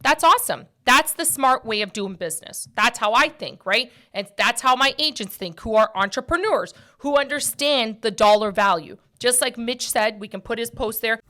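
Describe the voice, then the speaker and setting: A woman's voice: fairly high pitch, lively intonation, normal speed, hardly any pauses, bright, forceful tone. A woman in her early forties talking casually and directly to listeners as a host, blunt and emphatic, often repeating phrases for stress. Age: early 40s. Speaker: podcast host and mortgage brokerage owner.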